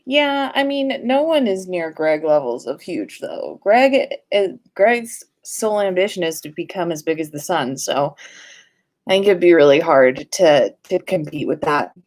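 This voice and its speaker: A woman's voice: lowish voice, lively intonation, a little slow, a little mellow. A woman hosting a casual livestream in a playful, informal way, sometimes sing-song and casually sweary. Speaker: livestream host.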